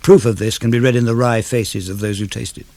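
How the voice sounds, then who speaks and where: A man reading poetry for radio in a measured, declamatory way, with rising and falling phrases. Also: mid-range voice, fairly even intonation, a little mellow, no room sound. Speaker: poetry reader. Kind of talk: dramatic radio verse reading.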